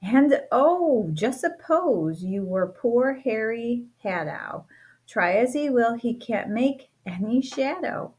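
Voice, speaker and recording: A teacher reading a rhyming children's book aloud, playful and expressive, with a sing-song lilt. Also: female voice, on the high side, slow, husky. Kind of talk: storybook read-aloud.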